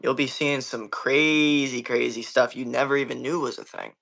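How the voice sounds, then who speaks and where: A man's voice: mid-range voice, steady tone, moderate pace, gravelly, fairly bright tone. A man chatting casually as a podcast co-host, laid-back and slangy. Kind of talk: casual chat.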